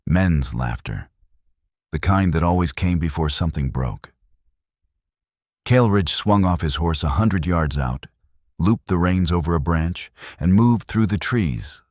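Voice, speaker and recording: Very deep-voiced man narrating a Western. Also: dry recording, studio quality. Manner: dramatic, measured storytelling in short weighted phrases. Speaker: storyteller, channel narrator. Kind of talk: scripted dramatic narration of a Western tale.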